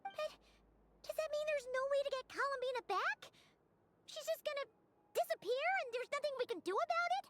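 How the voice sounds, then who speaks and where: A woman voicing a tiny, childlike, excitable fairy companion in a video game, fretful and speaking of herself in the third person. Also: very high-pitched, fairly quick, very noisy. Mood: worried.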